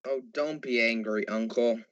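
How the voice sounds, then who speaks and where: A man's voice: mid-range voice, moderate pace, a bit bright, dry recording, lo-fi sound, lightly acted. A man playing a cheerful, good-hearted young nephew in a radio drama, speaking earnestly. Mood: kindly.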